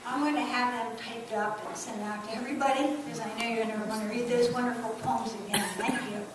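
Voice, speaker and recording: A woman's voice: on the high side, fairly even intonation, quick pace, a crowd in the background. A woman hosting an event, speaking informally as she introduces presenters to an audience. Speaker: event host. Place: large echoey room, live event. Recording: distant, echoey, noisy room.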